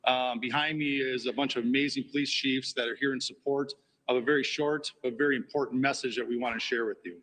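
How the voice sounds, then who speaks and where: A man, a police chief, reading a formal press statement in a measured, deliberate way. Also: mid-range voice, steady tone, pushed and bright, rough recording. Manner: measured, deliberate official delivery.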